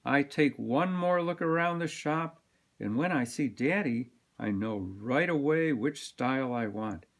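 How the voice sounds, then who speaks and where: A man reading a children's picture book aloud with expressive, dramatic emphasis. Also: mid-range voice, unhurried. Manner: animated, dramatic delivery with sound-word emphasis. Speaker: storybook reader.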